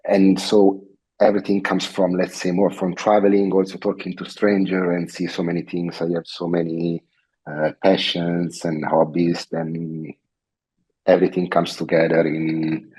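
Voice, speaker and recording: A middle-aged man from Italy speaks with an Italian accent, his voice sitting very low. There is a husky grain to it as he chats in a guest interview. He stops and restarts, repeating small phrases and filling gaps with "uh". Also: a little mellow, low-quality recording. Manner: halting, repeats phrases, many fillers. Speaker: guest artist. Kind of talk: casual interview chat.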